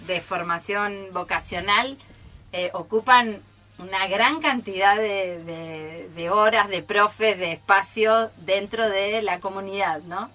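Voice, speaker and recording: A woman with an Argentine Spanish accent talks casually on a live radio show. Her voice is bright and sits at a medium pitch. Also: takes it slowly. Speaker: theatre workshop teacher. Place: radio studio, live broadcast.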